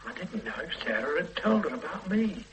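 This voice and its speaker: An actor playing a weary, regretful middle-aged man under police questioning in a radio play, speaking in a halting, hesitant way. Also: high-pitched, big pitch swings, slight background noise, poor audio. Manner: halting, hesitant confession with quiet weary asides. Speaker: murder suspect under questioning. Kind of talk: 1970s-80s radio play acting.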